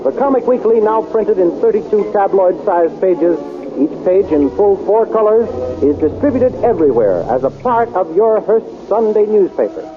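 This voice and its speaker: A man announcing a 1930s radio adventure serial in a crisp, dramatic manner. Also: high-pitched, animated, normal speed. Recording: tinny 1930s radio recording with music.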